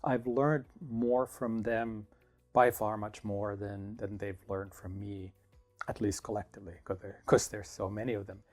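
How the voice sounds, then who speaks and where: A middle-aged man from Finland, a professor answering interview questions in a thoughtful, measured way with small restarts. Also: fairly low pitch, little pitch movement, darkish tone, soft background music. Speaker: political science professor.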